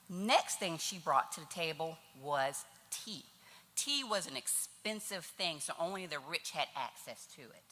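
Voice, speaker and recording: A woman's voice: on the low side, pushed and bright. Chatty woman speaking in a big hall. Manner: nervous, chatty, addresses the audience directly. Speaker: church event speaker. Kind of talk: informal history talk at a tea event. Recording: hall reverb.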